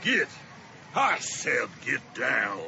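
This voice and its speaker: Drawn-out, gruff carnival henchman. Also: mid-range voice, huge pitch swings, muffled recording, heavy background noise, exaggerated voice. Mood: gruff, threatening. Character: gruff red-haired carnival man, bullying henchman. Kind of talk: radio play acting.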